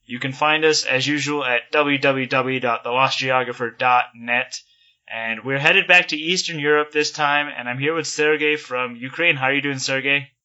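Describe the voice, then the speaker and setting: A man's voice: mid-range voice, fairly even intonation, moderate pace, sharp tone, no room sound, muffled recording. A man hosting a podcast, reading an ad and introducing his guest in an upbeat, scripted way. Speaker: podcast host.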